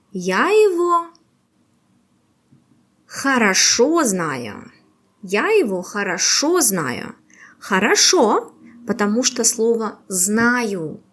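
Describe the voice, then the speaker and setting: Slow, breathy woman teacher. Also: medium pitch. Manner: patient, slow and exaggerated pronunciation, lively rising questions. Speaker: Russian language teacher. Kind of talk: slow, clear lesson quiz for beginners.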